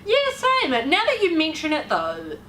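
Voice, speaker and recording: A woman's voice: high voice, wildly swooping pitch, sharp tone. Bubbly New woman hosting. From New Zealand. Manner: bubbly, chatty, quick to laugh. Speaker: podcast host. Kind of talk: casual chat. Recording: echoey room with faint noise.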